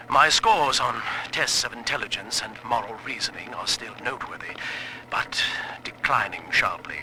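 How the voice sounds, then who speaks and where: A man's voice: medium pitch, noisy background, lightly acted. A man playing a guilt-ridden scientist, speaking formally and articulately in a dramatic recorded diary monologue. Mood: bitter, despairing.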